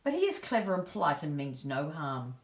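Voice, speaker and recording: Woman reading as a protective, low-voiced mother duck. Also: big pitch swings, normal speed, reverberant. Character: motherly female duck, doubtful yet proud and protective.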